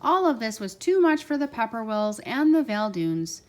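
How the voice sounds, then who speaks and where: Higher-voiced woman reading a children's storybook. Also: big pitch swings, normal speed, a little mellow. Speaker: parent reading aloud with a child.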